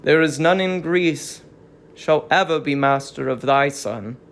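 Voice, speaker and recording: A performer playing a reluctant, troubled male Greek herald, halting and grave, in a dramatic reading of tragedy. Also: medium pitch, pitched below the performer's own voice, natural voice. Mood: reluctant, uneasy.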